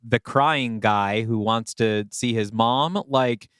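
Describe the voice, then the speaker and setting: A man speaks in a smooth, clear voice of average pitch as a podcast co-host. He floats ideas loosely and tentatively in casual conversation, recorded dry. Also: slow, a bit bright, dry recording. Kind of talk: casual story brainstorming.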